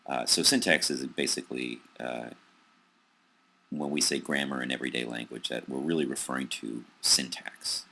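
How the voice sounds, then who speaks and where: A man with an American accent giving a recorded psychology lecture over slides, pausing often with ums and restarts. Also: a bass voice, steady tone, gravelly, bright, forceful tone. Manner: hesitant, many ums and restarts.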